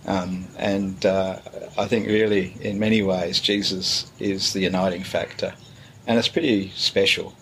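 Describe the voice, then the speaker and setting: Relaxed low-voiced pastor, hesitant. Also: male voice, a little slow, a little hiss or hum, low-quality recording. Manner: relaxed, hesitant with many fillers. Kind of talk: casual interview answers.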